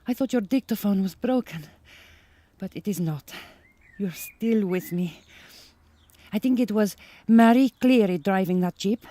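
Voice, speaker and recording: An actress playing an earnest, naive young migrant farm worker with a Hungarian accent, in a naturalistic radio drama. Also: female voice, medium pitch, warm tone, dry recording. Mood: wistful, chatty.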